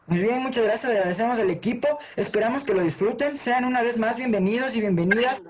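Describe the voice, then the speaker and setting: A man's voice: very high-pitched, fast talker, a crowd in the background, poor audio. A young man from Ecuador with an Ecuadorian accent, hosting an online session and reading a formal script over a video call. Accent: Ecuadorian Spanish. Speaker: host.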